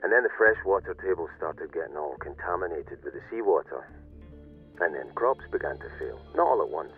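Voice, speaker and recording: A man playing a weary, cynical security corporal in a video game, recording a halting, rueful audio diary as a survivor of a flooded world. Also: a bass voice, animated, slightly rough, phone-quality sound, soft background music, exaggerated voice. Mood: somber, reflective. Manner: halting, rueful, confiding, with pauses and self-corrections. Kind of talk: in-character audio diary monologue, dramatic game acting.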